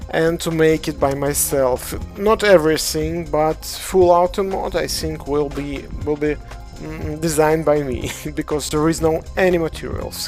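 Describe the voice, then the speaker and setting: A speaker from Ukraine with a Ukrainian accent, a game developer casually walking through models on a livestream and answering chat in halting English full of hesitations. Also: mid-range voice, darkish tone. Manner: halting non-native English, frequent hesitations.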